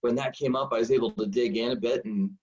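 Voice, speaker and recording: A man in his forties, a high school football coach, telling stories in a rambling, self-deprecating way full of fillers. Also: steady tone, quick pace, a little mellow. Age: 40s. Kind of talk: casual storytelling interview. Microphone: video call. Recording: dull lo-fi video call audio.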